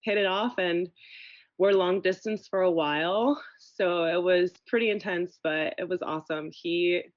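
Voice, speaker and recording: A woman's voice: lowish voice, unhurried, no room sound, highs cut off. A woman in her thirties from Venezuela, chatting casually as a podcast guest and filling her talk with "like" and "you know.". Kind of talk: casual conversation.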